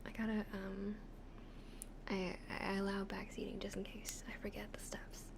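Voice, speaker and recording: A young Canadian woman from the West Coast with an accent close to Californian, whispering softly and chatting as an ASMR streamer on a close microphone. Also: mid-range voice, completely flat delivery, moderate pace, creaky, background noise. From western Canada. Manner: soft whispering, chatty, laughs often.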